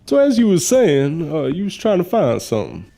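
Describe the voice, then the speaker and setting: Gravel-edged, animated Southern gentleman mortician. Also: fairly high pitch, slight background noise, exaggerated voice. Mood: courteous. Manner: courteous, hesitant, drawn-out folksy phrasing. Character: folksy Southern mortician who acts as medium to the dead. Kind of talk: audio drama acting. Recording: music under the voice.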